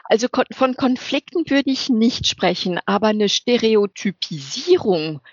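A woman working as an interpreter, answering interview questions in a lively, emphatic way and laughing often. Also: a little high, a little slow, no room sound. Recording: dull lo-fi recording.

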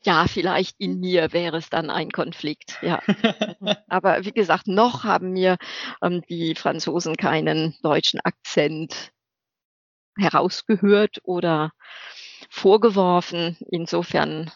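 A woman working as an interpreter, answering interview questions in a lively, emphatic way and laughing often. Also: lowish voice, takes it slowly, dry recording. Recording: dull lo-fi recording.

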